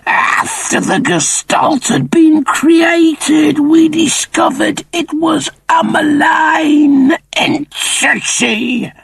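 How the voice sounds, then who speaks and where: Shrill, creaky, officious chimp creature. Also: male voice, a bit bright, no echo, heavily acted. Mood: grave, strained. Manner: slow, deliberate, pompous pronouncements.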